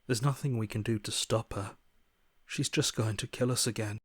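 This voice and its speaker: A man's voice: lowish voice, steady tone, normal speed, husky, no room sound, clean studio sound. An actor voicing a defeated, fatalistic man in a video game, subdued and mournful, with breath at the ends of phrases. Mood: hopeless, resigned. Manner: resigned, mournful, bitterly pessimistic. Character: despairing, defeated man, broken and fatalistic inner voice. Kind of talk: dramatic video game voice acting.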